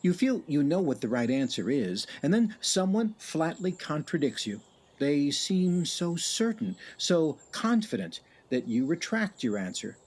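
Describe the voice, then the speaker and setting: A man narrating and hosting a community radio play in a measured, wry storytelling manner. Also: fairly high pitch, sing-song, a little mellow, breathy edge. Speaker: narrator and show host. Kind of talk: scripted radio drama narration.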